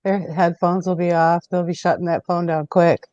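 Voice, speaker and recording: A woman on a pagan livestream panel makes self-deprecating jokes in easy chat. Her voice is fairly low and mellow. The dry video-call audio sounds dull. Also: fairly even intonation, moderate pace, no room sound.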